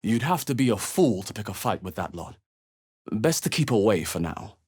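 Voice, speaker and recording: Husky low-voiced male adventurer. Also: sing-song, normal speed, a little mellow. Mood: musing. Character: adult male adventurer hero, wary, thoughtful, dry-humoured. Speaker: video game voice actor. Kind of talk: fantasy role-playing game voice lines.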